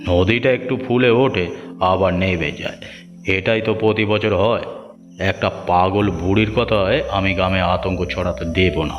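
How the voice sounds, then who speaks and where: A man's voice: low voice, fairly even intonation, unhurried. A man playing a proud, domineering village headman in a cartoon story, speaking with pompous, threatening authority. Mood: stern disdain. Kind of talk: cartoon moral-story voice acting. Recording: muffled audio with clear music behind.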